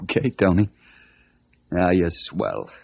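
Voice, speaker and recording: A man's voice: sits low, sing-song, takes it slowly, vocal fry, exaggerated voice. An actor playing an earnest, kindly American man who mentors boys, in an old-time radio drama. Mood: reassuring. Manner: reassuring, wholesome, earnest. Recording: muffled old radio broadcast recording.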